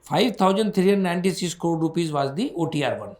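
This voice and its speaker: A man's voice: fairly high pitch, animated, brisk, a little mellow, echoey room. A man with an Indian accent answering questions as a bank executive on an earnings call, in an emphatic, repetitive way.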